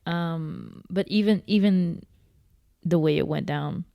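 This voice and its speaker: A young woman from the Netherlands, co-hosting a podcast in relaxed, chatty conversation. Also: lowish voice, slow, vocal fry, dry recording, muffled recording. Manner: relaxed, chatty, many fillers. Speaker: podcast co-host. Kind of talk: casual chat.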